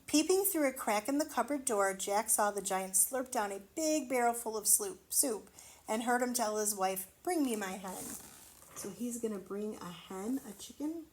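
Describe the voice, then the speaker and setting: A woman reading a storybook aloud to children, expressively, pausing to wonder aloud and explain things simply. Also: a little high, slight background noise, poor audio. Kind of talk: children's read-aloud with asides to the listener.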